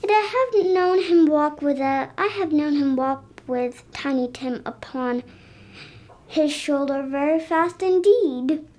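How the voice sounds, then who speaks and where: A third-grade child voicing Mrs. Cratchit, a gentle grieving mother, in a school radio play. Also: high-pitched, slow pace. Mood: tender.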